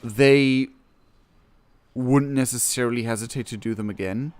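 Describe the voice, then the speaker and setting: A man streaming a game, reading quest text aloud and reacting casually, with excitable exclamations and hesitant, self-correcting commentary. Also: medium pitch, measured pace, clean tone. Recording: music behind the voice. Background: game music.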